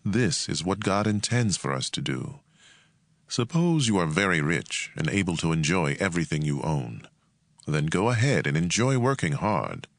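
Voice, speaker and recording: A man narrating scripture as a dramatized audiobook, in a measured, expressive and emphatic delivery. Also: low-pitched, vocal fry, no echo, studio quality.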